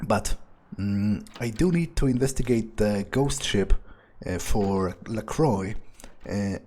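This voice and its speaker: Husky man musing to himself. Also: on the low side, lively intonation, slow pace, a little mellow. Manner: musing, hesitant, sardonic, swearing. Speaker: gameplay video player speaking in character. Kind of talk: improvised in-character monologue of thoughts.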